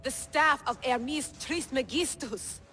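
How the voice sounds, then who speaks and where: A woman's voice: high-pitched, slow pace, sharp tone, soft background music, exaggerated voice. A woman playing an upbeat, wry young researcher in a video game cutscene, casual and teasing in delivery. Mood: excited.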